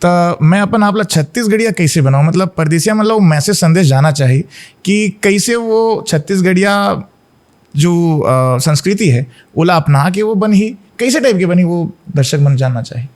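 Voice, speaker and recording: A man's voice: high-pitched, moderate pace. A man, hosting a podcast interview and asking his guest questions in an effusive, admiring way. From Rajasthan, India. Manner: effusive, flattering introductions. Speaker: podcast host.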